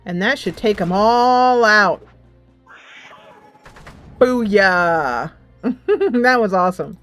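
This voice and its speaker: Unhurried woman doing casual gameplay commentary. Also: medium pitch, animated, a bit bright, faint music behind. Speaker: gaming channel host playing a tactics game.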